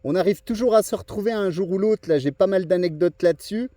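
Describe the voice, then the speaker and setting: A slightly high-pitched man with a mellow, soft-edged tone talks casually as a radio hobbyist. He is conversational and keeps checking that his listener follows, in a dry, close-sounding recording. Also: brisk, dry recording. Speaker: amateur radio operator. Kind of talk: casual radio contact chat.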